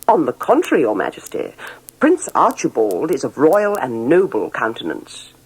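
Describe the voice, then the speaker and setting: A woman's voice: rumbling low, wildly swooping pitch, breathy edge, full character voice, low-quality recording. Storybook Lord Chamberlain female reader, English RP, obsequious and self-important, wordy, with sweeping intonation. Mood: pompous, proud. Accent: English Received Pronunciation. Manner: pompous, ornate, deliberate. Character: pompous, long-winded male royal chamberlain, obsequiously proud.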